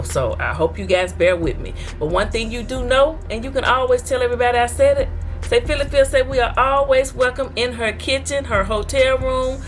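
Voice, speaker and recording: A woman from Texas, hosting an eating show and reading viewer comments aloud in a chatty, folksy way. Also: a little high. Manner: chatty, folksy, talks straight to her viewers.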